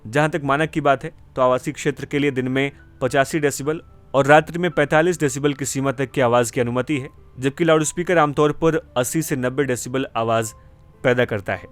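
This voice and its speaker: A man's voice: mid-range voice, fairly quick. Male news presenter over background music. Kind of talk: scripted news explainer narration.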